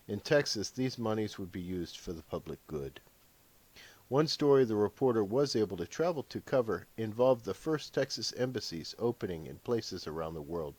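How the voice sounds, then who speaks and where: A man narrating his own fiction as an audiobook, in a measured storytelling delivery. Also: lowish voice, darkish tone. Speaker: author reading own book aloud.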